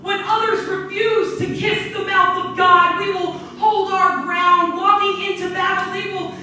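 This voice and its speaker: A young woman recites slam poetry in a high voice. Her delivery is dramatic and emphatic. She is some distance from the microphone in a very echoey room, with music clearly audible. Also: level tone, measured pace, bright, forceful tone. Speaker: spoken-word poet. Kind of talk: slam poetry recitation with casual spoken introduction. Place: large echoey indoor room at a retreat. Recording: very echoey room, far from mic.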